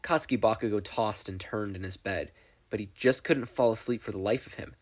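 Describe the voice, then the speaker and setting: A man reading fan fiction aloud as expressive scripted narration, with a playful, wry storytelling manner. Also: on the low side, fairly quick. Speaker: podfic reader.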